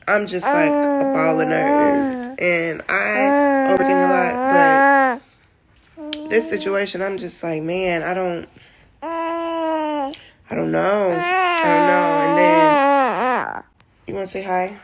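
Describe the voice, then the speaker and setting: A woman around thirty chatting in a casual vlog, rambling and emotional, tearful at times, in a relaxed style. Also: high-pitched, slow pace, long pauses, a loud crowd. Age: 30s. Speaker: vlogger.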